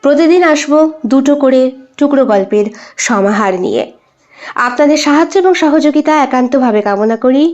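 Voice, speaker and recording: Casting: woman narrator for dramatic audio short stories, high register, lo-fi sound. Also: lively intonation, normal speed, darkish tone. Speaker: storyteller, channel host. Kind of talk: dramatic scripted story narration.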